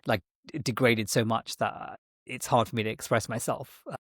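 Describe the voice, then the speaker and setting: A man's voice: mid-range voice, steady tone, moderate pace, fairly bright tone, dry recording. Man speaking in a dry, close-up sound. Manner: rambling, frequent restarts and self-corrections. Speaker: guest, tech executive and parent. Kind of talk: conversational interview.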